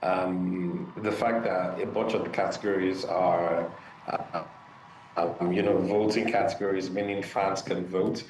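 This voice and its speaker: A low-voiced man argues his view, stalling on fillers as he goes. He sits away from the mic in a roomy space, with faint noise around him. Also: fairly even intonation, a little slow, a little mellow, recorded from a distance. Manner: hesitant, many fillers, opinionated. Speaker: music commentator guest. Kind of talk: opinionated discussion.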